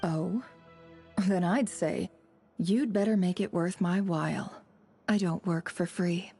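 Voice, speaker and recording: A woman's voice: fairly low pitch, slow, warm tone, soft background music. A woman playing a sly, aloof, mercenary spymaster in a video game, coolly teasing and sardonic. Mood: teasing, haggling.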